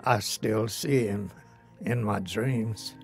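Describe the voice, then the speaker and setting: Elderly man, very gravelly and slow. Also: on the low side, faint music behind. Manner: halting, short phrases. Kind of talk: slow personal war recollection.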